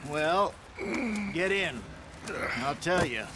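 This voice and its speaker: A man's voice: fairly high pitch, lively intonation, slow, heavy background noise. A man playing a world-weary old American outlaw in a game, dry, wry and grumbling. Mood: somber. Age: older. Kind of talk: video game cutscene acting.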